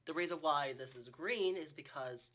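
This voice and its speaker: A man with a husky, under-the-weather voice, hosting a casual reaction video in a rambling, exclamatory way. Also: fairly high pitch, moderate pace, a bit bright. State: sick with a cold or similar illness. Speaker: host of a reaction channel.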